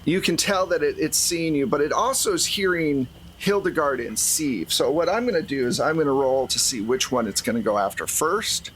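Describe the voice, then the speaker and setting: A man's voice: on the high side, lively intonation, normal speed, hardly any pauses, fairly bright tone, slight background noise, poor audio. A man running a tabletop roleplaying game as game master, narrating and explaining in a conversational way.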